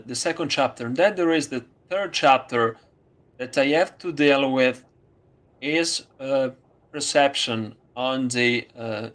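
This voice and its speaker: A man with an Italian accent, speaking English as a second language in a casual conversation, halting with many fillers. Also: medium pitch, a bit bright. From Italy. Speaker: student.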